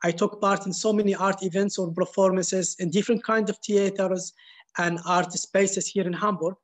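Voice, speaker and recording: A man, an artist and former journalist, speaking on a panel in halting English. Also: sits high, level tone. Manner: searching, halting non-native phrasing. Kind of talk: panel talk.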